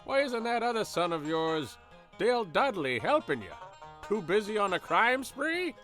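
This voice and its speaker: A sneering, self-important rural lawman in a radio play. His voice is high and sharp, swinging theatrically as he blusters and mocks, with faint music behind. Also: very animated, normal speed. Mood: sneering, blustering. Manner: pompous, mocking. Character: loathsome blustering small-town lawman, sneering and pompous. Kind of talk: comic radio drama villain acting.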